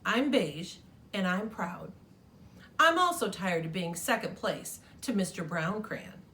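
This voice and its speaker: A woman's voice: lowish voice, expressive, slow, pushed and bright, heavily acted. A woman reading the letter of a proud but slighted beige crayon from a storybook, in an indignant tone.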